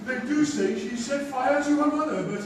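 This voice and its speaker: A man's voice: extremely high pitch, normal speed. A man playing a flamboyant, self-adoring English playwright in a stage comedy, gushing and grandiose. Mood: gleeful, vain. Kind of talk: theatrical stage comedy acting. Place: theatre stage before a live audience. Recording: echoey theatre recording from far off, faint noise.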